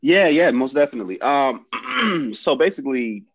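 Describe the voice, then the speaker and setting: A man's voice: mid-range voice, expressive, moderate pace, breathy edge, dry recording. A man in his forties from Detroit, a guest on a remote interview, explaining his work in a rambling way full of ums and restarts. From Detroit, USA. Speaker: guest, comic creator. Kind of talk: casual interview chat. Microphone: headphones, remote call.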